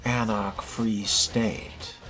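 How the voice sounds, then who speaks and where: Casting: male player as inquisitive young vampire newcomer in a game, very low and drawling slowly, cool and low-key. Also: fairly even intonation, over music. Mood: dry, curious. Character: laid-back, curious male fledgling vampire newcomer. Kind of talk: role-play of a video game character.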